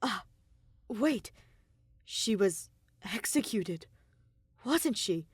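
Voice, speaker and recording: A woman acts out a nervous young man in an audio story. The voice is earnest and hesitant, asking questions uneasily, with expressive pitch movement and a dry, close sound. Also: drawn-out words, slightly rough, no room sound, exaggerated voice. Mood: dawning realization.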